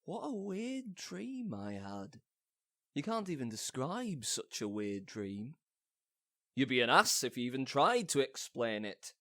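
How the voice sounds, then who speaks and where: A man playing a foolish, bombastic amateur actor in a comic audio drama, theatrical, bumbling and halting. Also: medium pitch, sing-song, a bit bright. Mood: dazed, wondering.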